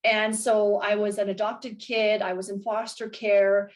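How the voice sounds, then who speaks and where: Guest, Canadian woman, late forties, mid-range pitch, energetic and articulate. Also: a little slow. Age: 40s. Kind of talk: casual interview chat.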